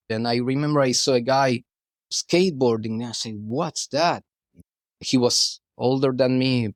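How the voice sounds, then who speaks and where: A man's voice: mid-range voice, vocal fry, no echo, clean studio sound. A middle-aged Argentine man, a guest artist, chatting loosely in an interview, restarting phrases and saying "you know" often. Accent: Argentine Spanish. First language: Spanish. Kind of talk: casual interview chat.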